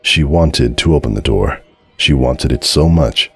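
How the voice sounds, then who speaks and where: A man giving a dramatic scripted narration of a story in a measured, solemn way. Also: extremely low pitch, slightly rough. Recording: music behind the voice.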